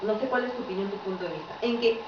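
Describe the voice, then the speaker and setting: A woman from Peru asks a question from the audience, hedging and hesitant and filling her pauses. Her voice is mid-pitched and sounds distant, caught across an echoey lecture room. The audio is dull, with clear background noise running under it. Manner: hesitant, hedging, fillers like "¿no?" and "este". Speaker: audience member asking a question.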